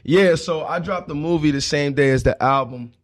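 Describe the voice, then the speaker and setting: A man in his twenties with a fairly high voice. He talks as a guest in a casual interview, slangy and emphatic, often tagging his points with little check-in phrases. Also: moderate pace. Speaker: guest, hip hop emcee. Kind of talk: casual radio interview chat.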